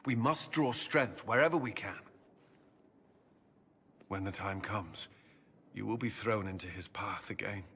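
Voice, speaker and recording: Low-voiced, earnest military commander. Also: male voice, fairly bright tone, slight background noise. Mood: grave, anxious. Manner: halting, earnest, hesitant. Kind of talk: dramatic fantasy game dialogue.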